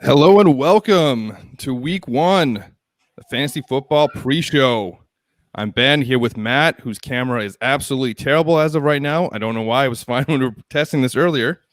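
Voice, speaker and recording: A young man co-hosting a live fantasy football show, running the segments in a brisk, teasing way. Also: medium pitch, no room sound. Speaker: co-host. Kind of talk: casual fantasy football chat.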